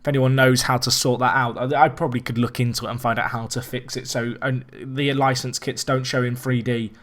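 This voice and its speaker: Slightly hoarse young man commentating a game. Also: mid-range voice, brisk. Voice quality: slightly raspy. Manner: chatty, rambling with restarts, rising excitement at chances. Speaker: let's play host. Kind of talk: casual gameplay commentary.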